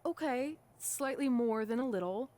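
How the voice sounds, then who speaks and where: A woman's voice: on the high side, animated, normal speed, warm tone, close to an everyday voice. A woman voicing a wry young wrestler, a proud best friend, in a video game cutscene, sarcastic and grudging. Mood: grudgingly apologetic.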